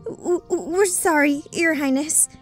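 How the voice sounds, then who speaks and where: A gossipy and deferential palace maid acted by a woman, with a high voice. It is a fairy-tale game performance with faint music behind. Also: unhurried. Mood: timid, deferential.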